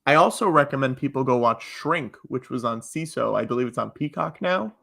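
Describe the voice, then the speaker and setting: A man in casual podcast conversation, speaking at a middling pitch. He comes across as a joking guest with an animated manner, often letting a chuckle into his words. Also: fairly quick, creaky. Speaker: podcast guest and live improv comedy performer.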